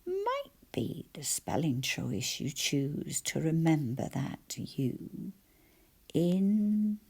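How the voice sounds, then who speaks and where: A woman with a soft, often whispered voice teaching spelling and sounding out letters one by one. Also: low-pitched, huge pitch swings, vocal fry, breathy edge. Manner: slow, soft-spoken, sounds out each letter.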